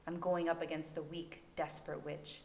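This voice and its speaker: Echoey low-voiced woman narrating a story. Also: little pitch movement, normal speed, creaky, darkish tone. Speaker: fan fiction podfic narrator. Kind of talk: dramatic scripted story narration. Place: indoor room, amateur home recording. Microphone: new home recording microphone. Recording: echoey room, faint background noise.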